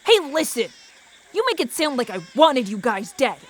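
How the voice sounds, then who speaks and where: A man's voice: very high-pitched, normal speed, full character voice. A performer playing a cheeky, flirty male incubus demon in an audio drama, youthful and teasing. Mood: pleading, defensive. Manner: animated, teasing.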